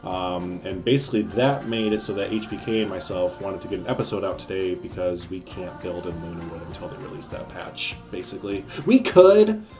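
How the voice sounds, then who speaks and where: Lower-voiced dude hyped about his game project, lots of likes and ums, quiet music under it. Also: animated, a little fast, hardly any pauses. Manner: upbeat, laughs often, lots of "like" and "um". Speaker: co-host of a gaming series. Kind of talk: casual gaming chat.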